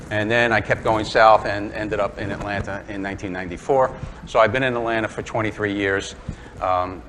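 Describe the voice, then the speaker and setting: A man's voice: lowish voice, a bit bright, slight background noise. A man in his sixties from Brooklyn with a New York accent, giving a chatty, self-deprecating woodturning demonstration talk to a club audience, full of ums and uhs. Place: workshop or club room with an audience.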